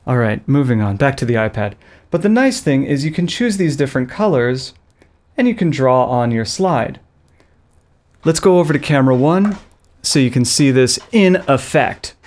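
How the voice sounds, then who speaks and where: A man in his thirties hosting a loose tech tutorial from a small studio, speaking close into a boom-arm microphone with playful, goofy asides. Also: expressive, normal speed, a little mellow. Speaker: video tutorial host. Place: small studio with a blue backdrop. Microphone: studio mic on a boom arm, close.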